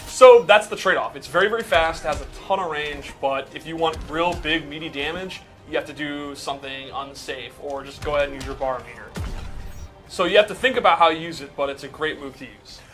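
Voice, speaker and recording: A man with a bright, forward voice on the higher side gives casual gameplay commentary. He explains things step by step in a loose, conversational way, with music clearly behind him. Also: a little fast. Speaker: game commentator breaking down a character.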